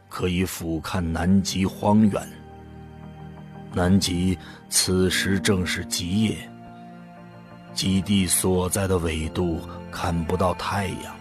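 An actor playing an earnest man who volunteers for a drug trial and tells his own story in a science fiction radio drama. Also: deep voice. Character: male first-person narrator, anxious but resolute drug-trial volunteer. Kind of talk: Chinese sci-fi radio drama acting and narration.

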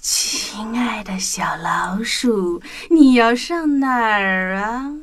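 Slow, high-pitched sly snake. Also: female voice. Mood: sly, coaxing. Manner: drawn-out, whispery, insinuating. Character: sly hungry snake luring its prey. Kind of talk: children's audio drama acting.

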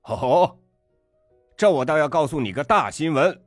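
A man reading a story aloud, voicing a brash, loud middle-aged father who bullies waiters with sarcasm. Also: low voice, animated, normal speed, dry recording, faint music behind. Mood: rude.

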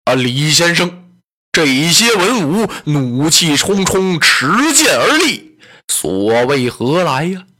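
A woman's voice: low-pitched, very animated, a bit bright, exaggerated voice. A woman doing a cowardly old tyrant. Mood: puzzled. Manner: slow, questioning, crying out. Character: fat old male tyrant, cowardly and arrogant. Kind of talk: traditional storytelling character voice.

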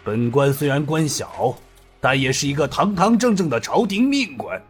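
A stern county magistrate barks orders and sneers from the bench, as a male storyteller voices him. He sits a touch higher than the narrator, and his pitch swings with force. Quiet music runs underneath. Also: animated, normal speed, breathy edge. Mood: scornful. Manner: commanding, sneering.

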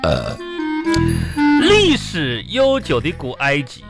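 An actor playing a grumbling, beer-loving husband in a live radio comedy, stammering and repeating himself, then turning mock-grandiose. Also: male voice, fairly high pitch, huge pitch swings, slow, gravelly, exaggerated voice. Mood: tipsy, mock-admiring. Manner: stammering, repetitive, mock-grandiose when drinking. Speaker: radio comedy host-performer. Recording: music behind the voice.

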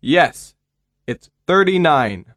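A man reading a slow, hesitant patient. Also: a little high, big pitch swings, a bit bright, dry recording, exaggerated voice. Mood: unwell. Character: male patient with a cold, unwell. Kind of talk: scripted dialogue for English listening practice.

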